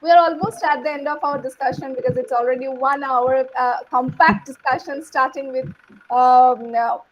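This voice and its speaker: A woman's voice: high voice, fairly even intonation, moderate pace, highs cut off. A woman with a Bengali accent, a native Bengali speaker, moderating an online session with gracious, upbeat remarks. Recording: faint background noise.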